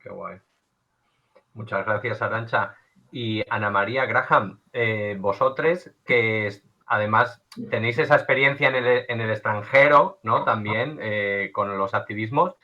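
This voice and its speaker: A man's voice: medium pitch, fairly even intonation, measured pace, bright, forceful tone. A man co-hosting an interview, thanking guests and asking questions in a friendly, hesitant way. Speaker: podcast host. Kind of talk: casual interview moderation. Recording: slightly dull, lo-fi sound.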